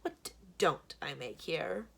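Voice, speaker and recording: A woman's voice: low-pitched, lively intonation, slow pace, creaky, husky, heavily acted. A woman voicing a sly, theatrical male potion seller with smug salesman patter in a children's storybook. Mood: gleeful boasting. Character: Blue, sly bald theatrical male potion-selling schemer. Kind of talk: children's book read-aloud.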